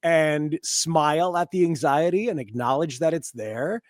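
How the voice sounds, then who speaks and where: Higher-voiced American man in his fifties, dry sound. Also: a little slow, no echo. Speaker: sports talk radio host. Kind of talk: casual conversational interview.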